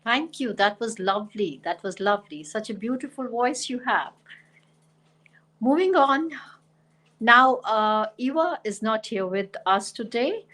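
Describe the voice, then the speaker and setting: A woman's voice: on the high side, lively intonation, unhurried. A woman hosting an online poetry reading, introducing poets with effusive praise. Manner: warm, effusive praise of guests. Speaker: host.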